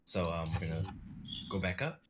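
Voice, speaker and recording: A young man chatting casually and haltingly as he organizes a group script reading. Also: sits low, lively intonation, background noise. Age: young adult. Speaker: writer-performer on a streaming show.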